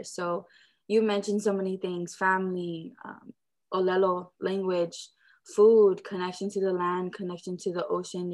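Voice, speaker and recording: A woman hosts a podcast interview in a lower voice. She sums up what her guest said with appreciation, then moves on to her next question. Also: a little mellow. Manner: appreciative, summarizing. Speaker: podcast host. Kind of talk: interview hosting.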